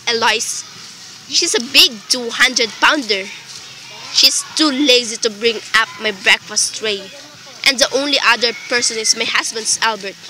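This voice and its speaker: A woman's voice: on the high side, pushed and bright, a little hiss or hum, low-quality recording. A woman playing an anxious, demanding invalid wife in a radio play, fretful, pleading and dramatic. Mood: uneasy.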